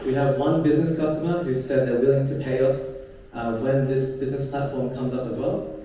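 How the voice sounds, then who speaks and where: A man pitching a startup on stage, earnest and rehearsed, then answering a judge's question. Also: fairly even intonation, a little fast, mellow. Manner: earnest, rehearsed, a few fillers. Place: event venue. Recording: echoey room, from far off, faint noise.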